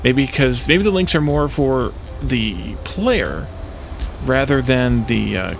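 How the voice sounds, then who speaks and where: Gravelly-voiced man narrating his gameplay. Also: mid-range voice, expressive, slow, noisy background. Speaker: let's play host. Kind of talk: casual video game commentary, thinking aloud. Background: game music.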